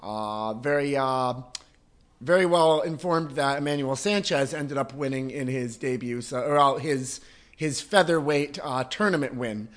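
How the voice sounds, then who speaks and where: A man's voice: medium pitch, measured pace. A man hosting a podcast, chatting casually, laughing easily and setting up long questions. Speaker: podcast host.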